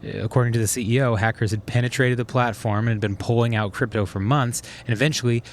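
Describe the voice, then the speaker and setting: An actor playing a sharp, methodical schemer who explains things conversationally in a naturalistic audio drama. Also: male voice, mid-range voice, steady tone, fast, slight background noise, close to an everyday voice. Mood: explaining intently. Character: sharp, methodical male schemer plotting revenge on a swindler.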